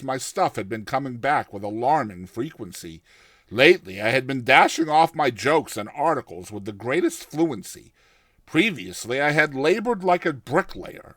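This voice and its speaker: Male narrator, lively swooping intonation, bright voice, theatrical storytelling, dry close sound. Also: on the high side, no echo. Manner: theatrical, expressive. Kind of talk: dramatized short story narration.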